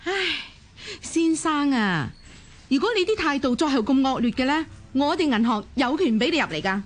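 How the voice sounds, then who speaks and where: An actress playing an exasperated but polite bank clerk with a Hong Kong Cantonese accent, officious and insistent, in a radio drama. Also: female voice, high-pitched, animated, moderate pace. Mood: stern.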